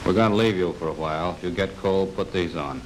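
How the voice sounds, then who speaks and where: A man's voice: deep voice, fast talker, lightly acted, rough recording. An actor playing a curt, authoritative male interrogation officer in a 1940s film. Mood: curt, commanding. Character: brisk commanding male Axis interrogation officer. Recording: hissy 1940s film soundtrack.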